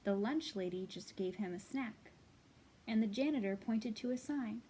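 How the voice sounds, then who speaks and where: Higher-voiced woman leading children's storytime. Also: moderate pace, breathy edge, poor audio. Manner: expressive, simple phrasing addressed to small children. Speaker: children's library storytime host. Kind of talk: storytime read-aloud and singalong for young children.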